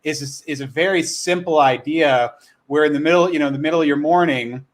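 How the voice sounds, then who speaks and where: A man with a fairly high-pitched voice hosts in a casual, chatty style. He rambles and restarts his sentences, often circling around a point before landing it. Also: brisk. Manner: rambling, self-correcting, frequent "you know" and "like". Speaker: host. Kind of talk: casual livestream chat.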